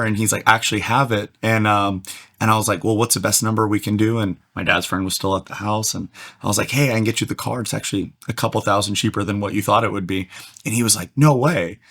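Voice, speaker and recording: A man from Atlanta, slightly hoarse, telling his story as an energetic, fast-talking podcast guest. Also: on the low side. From Atlanta, USA. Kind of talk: casual storytelling interview.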